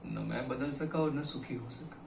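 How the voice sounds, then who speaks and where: A man performing a theatrical monologue as a broken, regretful poet, speaking dramatically and deliberately with weighted pauses. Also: medium pitch, vocal fry, natural voice. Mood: sorrowful, weary. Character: broken, regretful poet confessing to his lost beloved. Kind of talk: theatrical Hindi stage monologue. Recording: echoey room, distant mic, faint noise.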